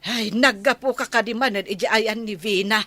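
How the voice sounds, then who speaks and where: A woman's voice: on the high side, moderate pace, a bit bright, no room sound. A woman playing a nagging, teasing vendor in a radio drama. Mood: teasing, complaining. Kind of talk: Ilocano radio drama acting.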